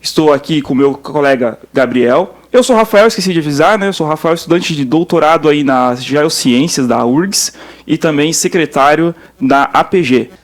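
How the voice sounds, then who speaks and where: Young man, podcast co-host, chatty, relaxed, slightly high voice. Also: moderate pace. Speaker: podcast co-host, doctoral student. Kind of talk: casual podcast hosting.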